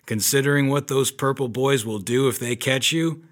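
An actor playing a tough, weary but kind 1930s private detective in a noir audio drama, speaking dryly and deliberately. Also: male voice, medium pitch, fairly even intonation, natural voice. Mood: grim, controlled.

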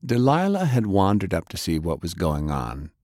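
Male audiobook narrator for adventure fiction: deep register, very dry studio sound. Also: wildly swooping pitch, moderate pace, a little mellow, no room sound. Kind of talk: scripted narration of an adventure novel.

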